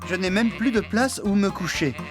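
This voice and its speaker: An actor playing a hapless, boastful, excitable farmhand in a French children's cartoon, eager and exaggerated. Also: male voice, sits high, normal speed, natural voice. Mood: sheepish, puzzled. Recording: music under the voice.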